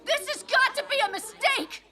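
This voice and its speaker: A woman voicing a shrill, indignant girl complaining loudly in an anime dub. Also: moderate pace, very noisy. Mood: outraged. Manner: shrill, outraged.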